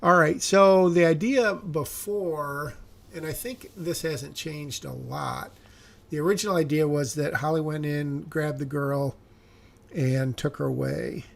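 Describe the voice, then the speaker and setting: Gravelly man musing over his script. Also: on the high side, a little slow. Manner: musing, hesitant, self-correcting. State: slightly raspy voice. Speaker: screenwriter. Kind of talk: thinking aloud while reviewing a script.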